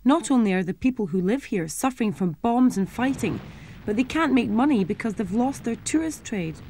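Young woman, schools TV presenter, clear simple explaining, somewhat high voice. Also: lively intonation, a little fast, mellow, slight background noise.

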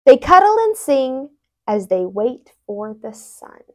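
A young woman reads a children's storybook aloud with lots of expression. Her voice is fairly high and swings widely in pitch, and she takes her time, going slowly from phrase to phrase. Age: young adult. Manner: animated, with brief asides explaining words. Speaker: tutor reading a picture book aloud. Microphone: lapel mic.

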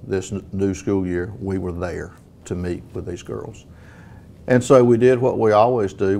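A man in his fifties giving a measured, explanatory account in an interview as a program director. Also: a little mellow. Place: meeting room indoors. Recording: clear background rumble.

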